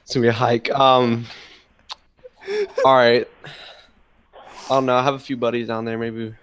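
A man chatting casually as a prank caller, phrasing things in a hesitant, drawn-out way. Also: medium pitch, flat delivery, fairly bright tone, clean tone. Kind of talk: casual phone chat.

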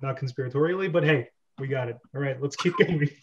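A man's voice: mid-range voice, fast, slight background noise. A man hosting and casually directing an amateur reading over a video call. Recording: dull video-call audio.

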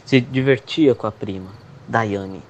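A man's voice: on the low side, unhurried, darkish tone, lo-fi sound, slight background noise. A man reading scripted, dramatic narration as the narrator of a radio soap opera. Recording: faint music behind narration.

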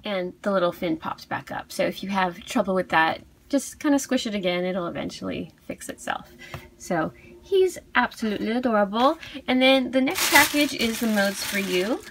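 A woman giving a casual unboxing review as a video host, cooing softly over cute things. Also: mid-range voice, animated, normal speed, slight background noise, poor audio. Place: indoors at a tabletop.

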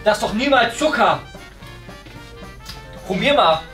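Guy in his 30s chatting on a vlog, very animated, bit of music behind. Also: high-pitched, a little slow. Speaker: prank show co-host. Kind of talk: casual vlog chat.

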